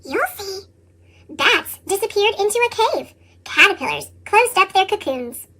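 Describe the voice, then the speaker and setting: A woman reading children's picture books aloud in narration, her voice changed with a pitched-up chipmunk effect. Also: very animated, normal speed, bright, forceful tone. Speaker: picture book reader.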